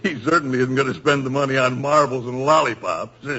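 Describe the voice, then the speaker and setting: A chatty board member who gets all guilty, muffled old radio drama. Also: male voice, mid-range voice, sharp tone. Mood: genial. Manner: genial, then guilt-stricken and halting. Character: remorseful older male board member. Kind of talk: 1940s radio acting.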